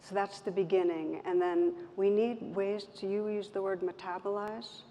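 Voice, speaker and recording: A woman with a soft voice speaking gently and reflectively as a meditation teacher, in measured phrases with pauses. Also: medium pitch, steady tone. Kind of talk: reflective talk in an on-stage conversation. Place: event stage in a hall. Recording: roomy hall, muffled, background noise.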